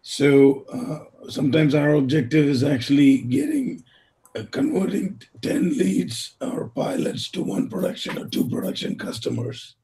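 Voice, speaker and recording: Hoarse man with a lively, failing voice. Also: a little high, poor audio. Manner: apologetic, halting. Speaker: webinar participant working at a startup.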